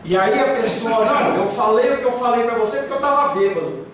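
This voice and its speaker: High-voiced pastor in an echoey hall. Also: male voice, lively intonation, a little fast, fairly bright tone. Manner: emphatic, rhetorical questions, acts out quoted excuses. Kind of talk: sermon. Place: church hall. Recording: very echoey room, speaker far from mic, faint hiss.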